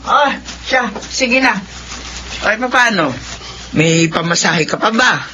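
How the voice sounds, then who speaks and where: A woman's voice: medium pitch, lively intonation, takes it slowly, dull audio. A woman playing a doting, hardworking rural grandmother in a Filipino radio drama, folksy and fussing in an affectionate way. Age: older. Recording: noisy ambience behind the voice.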